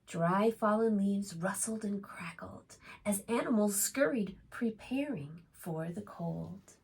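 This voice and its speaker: A woman reading aloud to young children as a preschool storytime host, expressive and often putting questions to her listeners. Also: mid-range voice, slow, creaky, breathy edge.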